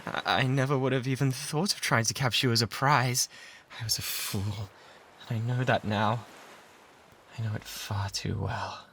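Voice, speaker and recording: An obsessive pirate in love, played by a man, speaks with a British accent at a medium pitch. He is breathy and theatrical, pleading with tender devotion and slipping into whispers. It is an intimate ASMR roleplay on a close binaural microphone, with faint ambient noise behind him. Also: fairly even intonation, normal speed, a bit bright, lightly acted. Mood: wounded.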